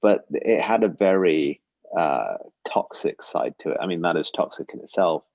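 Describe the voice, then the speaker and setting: A man's voice: low voice, expressive, normal speed, vocal fry, a little mellow, no echo, dull audio. A man in his forties giving an earnest, reflective personal talk, with frequent ums and uhs. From Britain. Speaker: guest speaker. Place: synagogue livestream.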